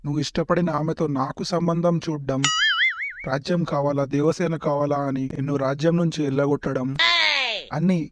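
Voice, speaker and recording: A man's voice: on the high side, steady tone, a little fast, loud music behind the voice, close to an everyday voice. A man playing a scheming adult prince, a dutiful elder brother, in a comic cartoon parody. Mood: earnest, plotting.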